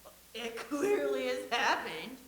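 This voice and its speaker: A woman's voice: high-pitched, sing-song, a little slow, fairly bright tone, roomy sound, slight background noise, exaggerated voice. A woman reading the part of an anxious stage actress, hesitant and stammering. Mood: nervous. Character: anxious stage actress awaiting a late colleague.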